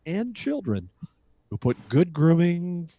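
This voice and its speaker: A man's voice: fairly high pitch, very animated, slow pace, highs cut off. A man announcing in old-time radio style, delivering scripted show introductions and sponsor reads.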